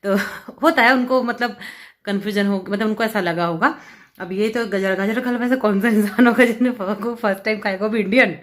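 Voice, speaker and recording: Woman home vlogger, middle pitch, lively storyteller, close phone mic. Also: brisk. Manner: chatty, animated storytelling with many fillers. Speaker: vlogger, homemaker. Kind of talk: casual chat to camera. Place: at home, seated with hair dryer.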